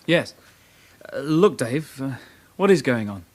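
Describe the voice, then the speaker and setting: A man's voice: medium pitch, sing-song, slow, a little mellow, dry recording. An actor playing a plain, puzzled junior policeman in a radio drama, matter-of-fact and informal. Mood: puzzled, reporting.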